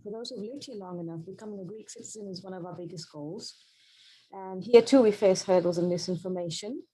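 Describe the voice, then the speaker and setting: A woman from Pakistan, a journalist, giving an earnest, measured talk over a video call. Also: mid-range voice, mellow, poor audio. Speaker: journalist, panel speaker. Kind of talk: prepared webinar talk.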